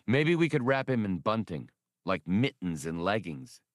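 A man's voice: lowish voice, sing-song, unhurried, dry recording, studio quality. Man reading a genial, wily old adventurer.

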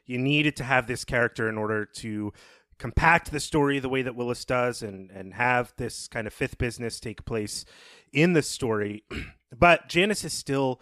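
A man co-hosting a podcast, giving casual literary commentary in reflective, halting phrases. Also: medium pitch, fairly bright tone, no echo, studio quality. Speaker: podcast co-host.

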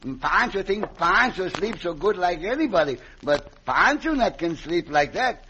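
A man's voice: sits high, very animated, moderate pace, bright, forceful tone, muffled recording, exaggerated voice. A man playing a cheerful, simple, loyal Mexican sidekick in an old radio western, speaking broken English with a Mexican accent on a noisy recording. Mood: jovial, teasing.

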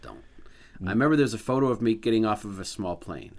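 A man's voice: lowish voice, lively intonation, quick pace. A man in casual movie-podcast chat, telling a rambling anecdote and swearing freely.

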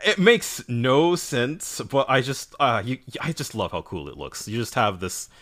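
A man co-hosting a casual podcast, enthusiastic and quick to laugh. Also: medium pitch, animated, a bit bright. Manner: enthusiastic, laughs often, does goofy quote voices. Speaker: podcast co-host.

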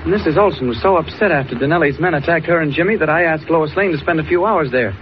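Guy playing polite american reporter, high quick voice, 40s radio drama, muffled old sound. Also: natural voice. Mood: earnest, deferential. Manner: deferential, brisk. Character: earnest, polite, mild-mannered newspaper reporter. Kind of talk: 1940s radio acting. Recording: muffled old radio recording, faint noise.

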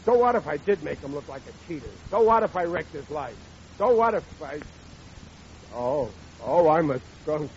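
An actor playing a blundering, excitable middle-aged working-class American father in a 1940s radio comedy. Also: a little high, moderate pace. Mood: guilty, wretched. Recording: muffled, noisy old radio recording.

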